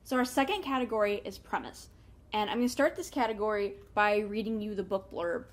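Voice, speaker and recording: A woman hosting a book review in a casual, chatty way, with animated emphasis and wry asides. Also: mid-range voice, fairly quick. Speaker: book review show host.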